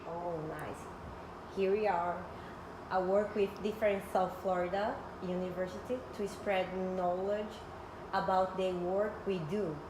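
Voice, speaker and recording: A woman's voice: medium pitch, steady tone, a little slow. A woman recruiter runs a job interview, stumbling now and then over a word as she asks her questions. The room is echoey and noisy. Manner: asks questions, some slips and restarts.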